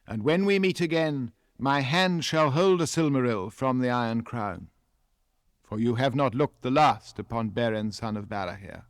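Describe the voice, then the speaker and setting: An English man acts out a proud mortal hero of legend with an English accent. He declaims boldly and without bowing, his pitch lifted in defiance and each phrase firmly paced. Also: moderate pace, no room sound, studio quality, lightly acted. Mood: bold scorn. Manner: bold, declamatory, unbowed.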